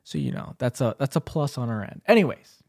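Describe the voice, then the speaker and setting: A man's voice: mid-range voice, sing-song, a little fast, a little mellow, no echo, studio quality. Upbeat chatty young man hosting a podcast. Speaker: podcast co-host. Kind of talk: casual podcast chat.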